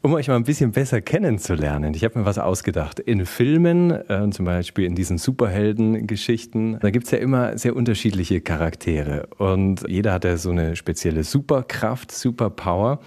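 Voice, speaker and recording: Low-voiced male radio host. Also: expressive, moderate pace, hardly any pauses, warm tone. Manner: friendly, conversational questions. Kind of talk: radio interview.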